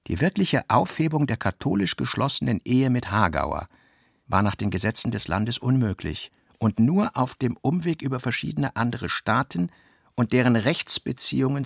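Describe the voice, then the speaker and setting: A man narrates literary prose for radio in a measured, precise way. His voice is husky, with a gravelly creak at its edges. The sound is very dry, with hardly any room around it. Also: mid-range voice, dry recording. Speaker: narrator reading a novel.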